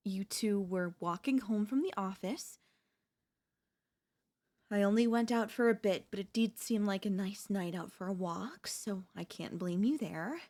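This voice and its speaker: A woman acts out a doting yandere wife in an ASMR roleplay. She speaks slowly at a medium pitch, tender and breathy, with hesitant stammers. Beneath the soothing care she is anxious and quietly controlling. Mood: calmly coaxing. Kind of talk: ASMR audio roleplay, intimate acted monologue.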